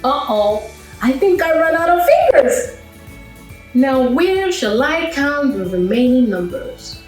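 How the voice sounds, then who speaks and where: A woman's voice: sits high, sing-song, slow pace. A woman hosting a children's read-aloud, talking playfully to young listeners and asking them questions. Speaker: children's storyteller and host. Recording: roomy echo with music.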